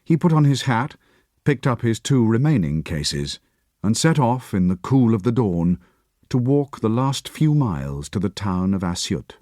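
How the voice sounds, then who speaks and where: A man reading an audiobook in a measured, expressive storytelling voice. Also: on the low side, no echo, clean studio sound. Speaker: audiobook narrator. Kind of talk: scripted narration.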